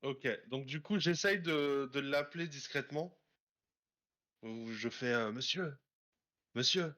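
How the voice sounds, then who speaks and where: Halting man playing a tabletop game. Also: medium pitch, a bit bright. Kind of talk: casual in-game description.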